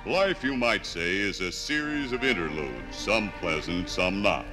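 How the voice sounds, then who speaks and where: A man playing Iron, a droll, pompous million-year-old piece of talking ore, in a deliberate 1950s radio drama style. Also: low-pitched, big pitch swings. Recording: 1950s radio recording from LP, music.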